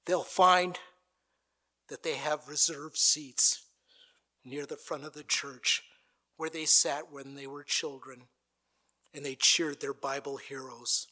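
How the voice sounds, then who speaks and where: Bright, high-voiced male pastor preaching. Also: fairly even intonation, slow pace, long pauses. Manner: dramatic, builds to a stirring recited speech. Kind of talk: sermon. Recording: played from an archive recording.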